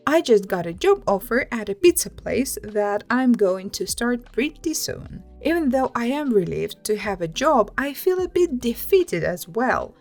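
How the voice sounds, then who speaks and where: A woman hosting a story channel, reading first-person written accounts aloud and adding casual commentary. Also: on the high side. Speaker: host reading online stories with commentary.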